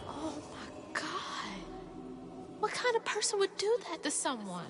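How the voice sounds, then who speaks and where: A woman's voice: very high voice, sing-song, measured pace, exaggerated voice. A woman playing a candid, compassionate young heroine in a video game, with an informal way of reacting aloud. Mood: shocked, pitying. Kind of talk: natural video game acting.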